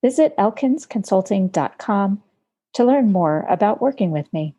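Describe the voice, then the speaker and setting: A woman hosting a podcast, introducing her guest and chatting with her in a relaxed, searching way. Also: mid-range voice, normal speed. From United States. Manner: warm, talkative, searching for words with fillers. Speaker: podcast host. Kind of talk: conversational interview. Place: home studio in Montana.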